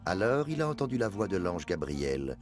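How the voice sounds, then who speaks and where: An actor playing a devout, solemn companion of the Prophet, telling of hardship in grave, measured animated-documentary narration. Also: male voice, low-pitched, over music. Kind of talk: dramatized first-person narration for an animated documentary.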